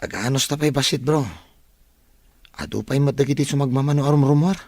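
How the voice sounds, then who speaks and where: A man's voice: mid-range voice, fairly even intonation, a little fast, darkish tone, no room sound, close to an everyday voice. A man acting an eager, loyal young male student in an Ilocano radio drama. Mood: eager, obliging.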